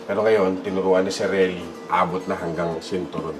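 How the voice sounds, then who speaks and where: A man acts out a vain Filipino politician who badly wants the presidency. He speaks in a halting, colloquial way, always fishing for approval, as in a satirical comedy sketch. Guitar music plays clearly behind him. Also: sits low, steady tone, lightly acted. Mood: eager, scheming. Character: vain, eager mustachioed politician hoping to become president.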